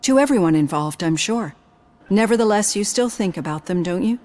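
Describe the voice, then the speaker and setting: An actress playing a composed psychologist in a video game, speaking in a calm, measured, gently probing way. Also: female voice, medium pitch, lightly acted. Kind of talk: scripted game dialogue.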